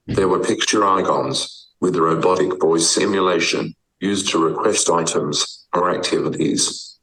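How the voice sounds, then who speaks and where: A synthetic male voice with an Australian accent reads typed text in a deep, low register. It moves at an unhurried, measured pace and proclaims each statement. The sound carries a roomy echo. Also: fairly bright tone, distant mic. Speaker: guest, advocate. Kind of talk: typed text read aloud by speech software.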